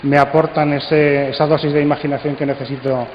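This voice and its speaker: A man giving a conference talk and leading a discussion, speaking deliberately with pauses. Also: on the high side, flat delivery, highs cut off. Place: conference hall. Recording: echoey hall, faint noise.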